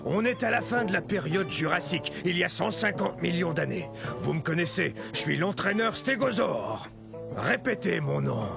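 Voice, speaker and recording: A man's voice: sits high, big pitch swings, moderate pace, gravelly, heavily acted. A man voicing a hearty, proud stegosaurus sports coach who gives orders in a children's educational cartoon. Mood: commanding, proud. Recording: music under the voice.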